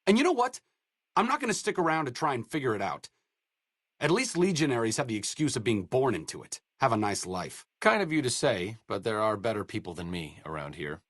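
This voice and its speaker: A man's voice: mid-range voice, very animated, fairly quick, a bit bright, no room sound. An actor playing a wry, idealistic male doctor in a video game, dry and sarcastic by turns, then earnest.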